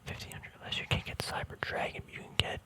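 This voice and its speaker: A man speaking in a soft, breathy whisper in a casual, relaxed ASMR style, thinking aloud close to the microphone. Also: quick pace. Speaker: ASMR video creator. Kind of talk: whispered ASMR, casual rambling card showcase.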